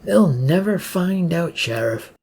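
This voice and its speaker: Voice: medium pitch, lively intonation, slow, darkish tone, voice pushed up. A narrator voicing an irritable, hostile male police officer who snaps curtly in a story reading. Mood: smug.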